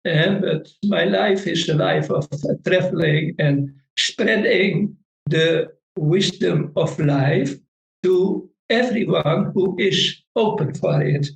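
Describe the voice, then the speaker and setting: Middle-aged Dutch man, teacher type, unhurried non-native English with a gravelly edge, recorded in a roomy space. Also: high-pitched, highs cut off. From Netherlands. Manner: slow, halting non-native English with frequent restarts. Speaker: Vedic meditation teacher. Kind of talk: casual interview conversation.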